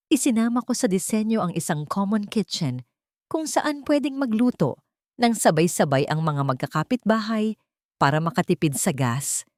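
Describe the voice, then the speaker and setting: A woman with a Filipino accent reads a dramatic story. Her voice sits slightly low and swings widely in pitch from phrase to phrase. The recording is dry and close, with very little room sound. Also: a little mellow, no echo. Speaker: audiobook narrator. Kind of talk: scripted dramatic first-person story narration.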